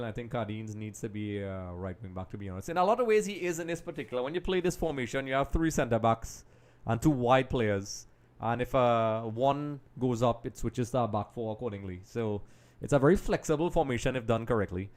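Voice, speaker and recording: A man hosting a live football watch-along in a middle-pitched voice. He talks in a chatty, analytical way, pausing on "um" and calling out to "folks" as he reads comments. He breaks down tactics and stats between remarks to viewers. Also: a little mellow. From Trinidad and Tobago. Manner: chatty and analytical, often says "um" and addresses "folks". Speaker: livestream host.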